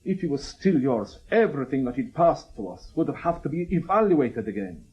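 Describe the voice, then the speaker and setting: A man acting in a radio drama as a wary, probing Soviet KGB major, speaking formally and deliberately in clipped phrases. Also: mid-range voice, very animated, lo-fi sound, natural voice. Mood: insistent.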